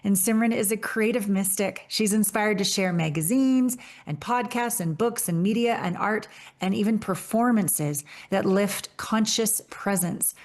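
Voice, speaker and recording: A woman's voice: medium pitch, a little slow. A woman in her fifties, a podcast host, giving an effusive, gushing welcome and guest introduction. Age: 50s.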